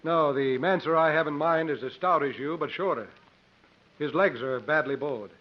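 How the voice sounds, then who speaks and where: Commanding male adventurer played by an actor, brisk and confident, slightly high voice, muffled 1950s radio drama. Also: lightly acted. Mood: determined. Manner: brisk, commanding, self-assured. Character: bold, confident strongman showman, male adventurer.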